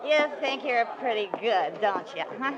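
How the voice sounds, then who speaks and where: An actress playing a bitter, lonely, overwrought wife of about thirty, taunting and volatile, in 1940s radio melodrama style. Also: female voice, on the high side, lively intonation, moderate pace, highs cut off, noisy background, close to an everyday voice. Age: around 30.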